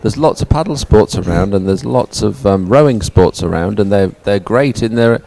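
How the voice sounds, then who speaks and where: A man with a very mellow, fairly low voice co-hosts live sports commentary. He talks at length with enthusiasm, over clear ambient noise from the venue. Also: expressive, moderate pace. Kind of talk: live race commentary and results announcing.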